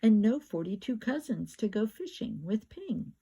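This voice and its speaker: An older woman reading a children's picture book aloud like a grandmother, with expressive, drawn-out words and emphatic repetition. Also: medium pitch, gravelly, a little hiss or hum.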